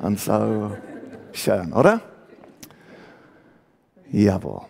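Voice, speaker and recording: A man's voice: on the low side, sing-song, very slow, vocal fry, a little mellow. A man from England with an English accent preaching a sermon in German, searching for words and mixing in English, in a church hall. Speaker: guest preacher.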